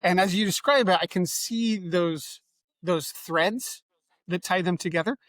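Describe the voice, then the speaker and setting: A man's voice: high voice, a little slow, a bit bright, no echo. A male podcast host interviewing a guest, friendly and curious, asking questions with some hesitations. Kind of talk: interview hosting.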